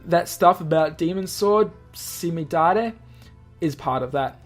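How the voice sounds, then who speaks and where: A grandiose, bossy young man as a woman reader plays him, theatrical and self-important in a visual novel. The voice is low for a woman, with faint music behind. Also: animated, a little slow. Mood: proud. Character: pompous, theatrical young man playing mad mentor.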